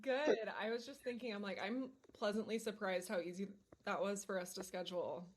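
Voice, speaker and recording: A woman's voice: medium pitch, fairly even intonation, a little fast, loud music behind the voice. Chatty congested woman host. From San Diego. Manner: chatty, many fillers like "like". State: congested, a little nasal. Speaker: podcast host. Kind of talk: casual podcast intro and chat.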